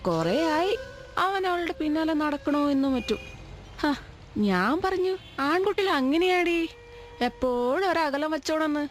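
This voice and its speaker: A woman's voice: sits high, expressive, moderate pace. An actress playing an anxious, devout middle-aged mother with a homely, plaintive manner in a radio drama. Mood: concerned. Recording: vehicle noise and music behind voice.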